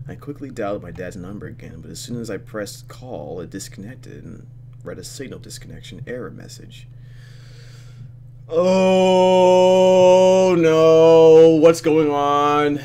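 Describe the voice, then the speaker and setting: A man hosting a gaming video, reading game text aloud and commenting with expressive, dramatic delivery. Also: a little high, takes it slowly, a little hiss or hum. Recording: game music behind. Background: game music.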